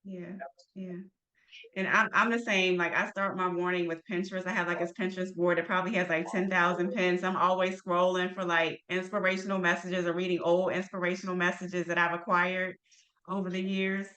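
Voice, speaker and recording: A woman hosting a podcast interview, affirming her guest with soft responses and asking questions. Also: fairly low pitch, flat delivery, brisk, low-quality recording. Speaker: podcast host.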